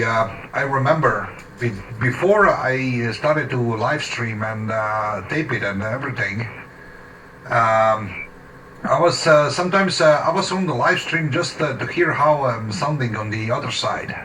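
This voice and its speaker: A man chatting haltingly as an amateur radio operator in his home shack. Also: mid-range voice, pushed and bright. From Slovenia. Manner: halting, hesitant phrasing. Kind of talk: casual radio chat. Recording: distant mic, faint shack noise.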